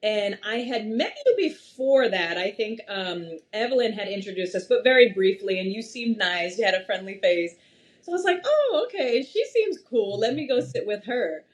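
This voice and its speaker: A young woman from Massachusetts, a podcast guest, talking at length in an animated, storytelling way. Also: mid-range voice, normal speed. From Massachusetts, USA. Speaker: podcast guest, wealth strategist. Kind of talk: casual interview chat.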